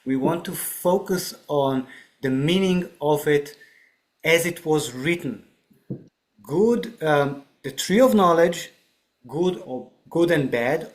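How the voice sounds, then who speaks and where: A man with a fairly high voice speaks unhurriedly, taking his time over each thought. He explains spiritual ideas in a reflective, teaching way and keeps checking that the listener is following with a quick "right?". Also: lively intonation. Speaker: podcast guest. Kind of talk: spiritual discussion.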